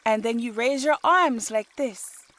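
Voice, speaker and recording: Woman voicing a spirited young dancer, raised pitch. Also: a little slow, a bit bright. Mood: encouraging. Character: graceful, playful, determined young woman dancer, elder sister. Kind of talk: audiobook character dialogue.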